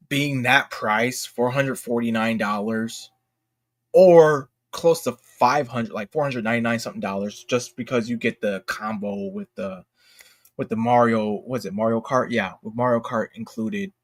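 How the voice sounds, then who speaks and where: Guy streamer just chatting, jokey n slangy, kinda trips over his words and repeats stuff, normal mid pitch. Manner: self-deprecating, jokey, informal slang; halting, often repeats himself. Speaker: livestream host. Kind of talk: casual livestream chat.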